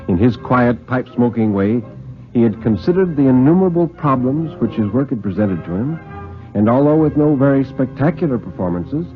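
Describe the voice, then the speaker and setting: A man giving a dramatic 1950s radio reading of a story, with literary, deliberate phrasing. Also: mid-range voice. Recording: muffled vintage 1950s radio recording.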